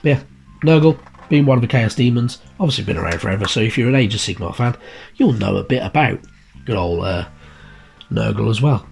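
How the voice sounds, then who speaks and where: A man hosting a miniature painting channel, croaky and bunged up with a heavy cold, chatting casually with lots of fillers and restarts. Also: on the low side, animated, brisk, a little mellow. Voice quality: husky. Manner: rambling, many fillers and restarts. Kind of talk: casual video chat about a magazine issue. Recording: background guitar music, cheap mic.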